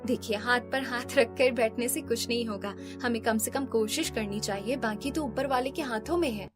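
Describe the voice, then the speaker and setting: A woman's voice: on the high side, normal speed, over music, close to an everyday voice. A woman playing a kind, hopeful young daughter-in-law who speaks in an earnest, reassuring way in a cartoon.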